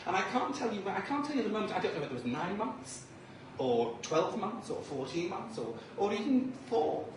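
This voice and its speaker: Camp performer in an echoey, noisy room. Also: male voice, sits high, big pitch swings, brisk, distant mic. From Liverpool, England. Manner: camp, flamboyant, chatty with the crowd. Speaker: drag performance poet. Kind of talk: live stage poetry with banter.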